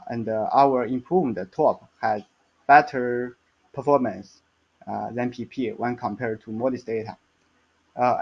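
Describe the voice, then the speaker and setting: A man giving a technical slide presentation as a research scientist, halting and hesitant, with frequent stumbles and repeats. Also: mid-range voice, lo-fi sound.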